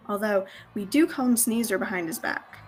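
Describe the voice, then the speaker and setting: A woman's voice: medium pitch, normal speed, natural voice. A young woman acting a friendly, folksy, dim-witted female Roman gladiator in radio theatre. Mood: dismissive. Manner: folksy turns of phrase. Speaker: student radio theatre actor. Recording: music behind the voice.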